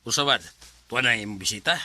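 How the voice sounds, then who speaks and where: A man's voice: medium pitch, fast, sharp tone, faint music behind. An actor playing a rustic middle-aged father in a Bisaya radio drama, nagging about his daughter's suitor, with a Cebuano Filipino accent. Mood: stern, probing.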